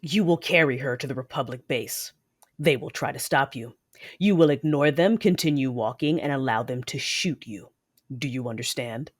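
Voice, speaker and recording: A woman's voice: extremely low pitch, normal speed. A woman playing a cold, vengeful female Sith lord in an audio drama, controlled and menacing as she narrates her deeds. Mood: cold menace. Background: music.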